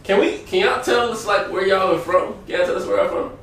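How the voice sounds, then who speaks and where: A man's voice: sits high, fairly quick, vocal fry, a bit bright. An American man hosting a casual group chat in a playful, slangy way. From United States. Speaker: host. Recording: very echoey room, distant mic, faint noise.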